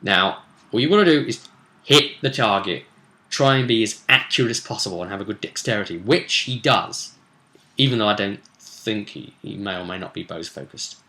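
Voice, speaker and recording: British man, low bright forceful voice, game master, chatty recap, emphatic, conversational. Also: normal speed. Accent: British English. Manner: emphatic, conversational, addressing the audience. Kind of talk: chatty recap of a tabletop roleplay session.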